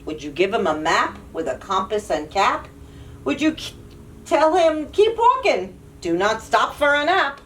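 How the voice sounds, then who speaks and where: A woman reading picture books aloud to young children, with an animated, playful, questioning delivery. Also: a little high, measured pace. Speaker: children's librarian and storyteller. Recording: distant mic, audible background noise.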